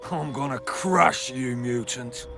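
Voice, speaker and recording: Very gravelly hulking thug. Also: male voice, mid-range voice, takes it slowly. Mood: menacing contempt. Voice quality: gravelly, rasping. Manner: menacing, contemptuous. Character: hulking, hostile, bigoted arm-wrestling thug.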